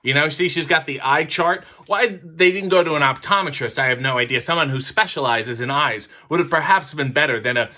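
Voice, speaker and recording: A man's voice: a little high, fairly quick, few pauses, sharp tone. A man hosting a picture book reading, breaking off into wry, mock-critical commentary. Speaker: storyteller host.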